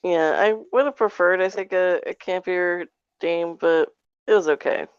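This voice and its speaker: Donna dalla voce bassa, audio ovattato. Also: female voice, moderate pace, smooth, no room sound, highs cut off. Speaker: podcast panelist. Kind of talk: casual chat.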